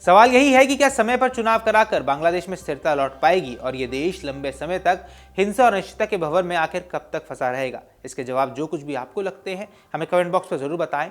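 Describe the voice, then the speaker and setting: A man's voice: a little high, lively intonation, fairly quick. A man presenting scripted news in an emphatic, explanatory way. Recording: voice over music bed. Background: background music.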